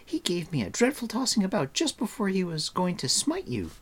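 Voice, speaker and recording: A man's voice: high-pitched, huge pitch swings, brisk, a bit bright, slightly rough, pitched above the performer's own voice, heavily acted. A man voicing a chattering, scolding, breezily confident young girl in a storybook, talking in a breathless rattle. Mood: matter-of-fact chatter.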